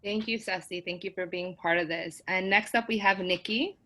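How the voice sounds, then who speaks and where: A woman's voice: lowish voice, normal speed, sharp tone. A woman moderating a video call panel, gushing and playful with the guests.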